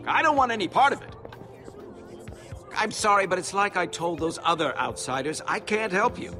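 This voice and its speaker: An actor playing a nervous, evasive innkeeper in a video game, fussy, stammering and defensive. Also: male voice, sits high, expressive, normal speed. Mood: flustered, indignant. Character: nervous, shifty, evasive male innkeeper with secrets. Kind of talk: animated game voice acting. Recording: loud music behind the dialogue.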